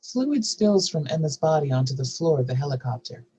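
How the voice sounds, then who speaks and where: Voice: medium pitch, sing-song, brisk, dark tone, slightly rough. A narrator reading screenplay stage directions and scene headings aloud at a scripted table read.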